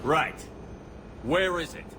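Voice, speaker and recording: An actor playing a resolute, cool-headed military commander in a video game, speaking in terse, firm phrases. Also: male voice, on the high side, slow pace, noisy background. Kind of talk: video game cutscene acting.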